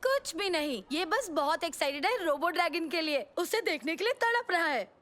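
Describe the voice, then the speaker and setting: A woman voicing an earnest young boy in a dubbed animated comedy, plain-spoken and quick to protest. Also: very high-pitched. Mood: teasing, relaxed. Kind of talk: animated comedy series dub.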